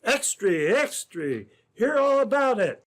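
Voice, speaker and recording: A man doing an excited, hawking old-time radio advertisement. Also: very high-pitched, slow pace. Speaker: advertisement pitchman.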